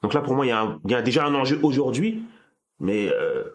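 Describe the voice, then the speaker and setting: A man with a mid-range voice hosts a relaxed discussion. He thinks aloud, restarting phrases and repeating words as he builds his point. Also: medium pitch, fast. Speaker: podcast host. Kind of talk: casual discussion.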